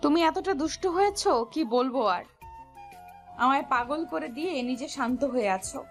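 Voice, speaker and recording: A young bride, acted by a woman in a romantic audio story, speaking in a high voice. She is teasing and impatient, scolding with playful exasperation over a soft music bed. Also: expressive, moderate pace.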